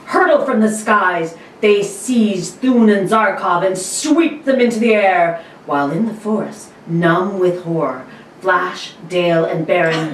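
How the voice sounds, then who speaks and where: A woman's voice: lowish voice, a little hiss or hum. A woman reading the narration aloud in a podcast table read, in a dramatic read-aloud manner. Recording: roomy, distant mic.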